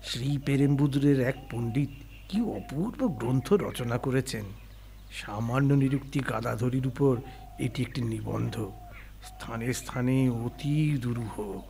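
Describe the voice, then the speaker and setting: An actor playing an old-world gentleman with formal, literary diction in an audio story. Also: medium pitch, a little slow, gravelly, slight background noise. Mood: absorbed, measured. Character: scholarly, caring Bengali Brahmin gentleman of old.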